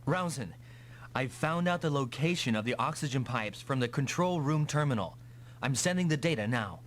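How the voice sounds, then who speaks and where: Casting: male actor as gung-ho young pilot hero for an anime-style game, confident, animated delivery. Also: medium pitch, moderate pace, lightly acted. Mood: businesslike. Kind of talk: dubbed anime-style video game acting. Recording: noisy vintage console game audio.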